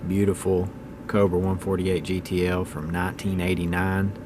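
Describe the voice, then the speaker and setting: A man's voice: sits low, completely flat delivery, normal speed, background noise. A man in his thirties giving a casual, unscripted hobbyist video talk in a plainspoken, opinionated, folksy way. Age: 30s. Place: indoors at home.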